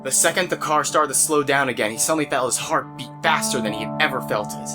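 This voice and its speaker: A male narrator reads a scripted adventure story. His voice sits at a medium pitch. Music plays clearly behind him. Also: quick pace.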